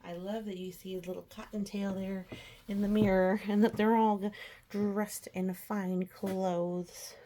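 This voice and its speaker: A woman's voice: medium pitch, little pitch movement, measured pace, mellow. A woman reading a children's picture book aloud as a storyteller, with chatty asides and childlike enthusiasm.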